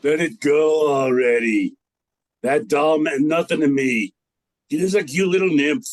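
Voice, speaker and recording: A man's voice: on the high side, unhurried, no echo, lightly acted. A man playing an exasperated, philandering husband god in an amateur radio comedy.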